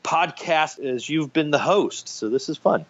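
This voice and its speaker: A man's voice: fairly high pitch, expressive, measured pace. Man in a dull lo-fi interview recording. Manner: explanatory, anecdotal. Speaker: podcast guest, radio theatre director. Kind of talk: conversational interview answers.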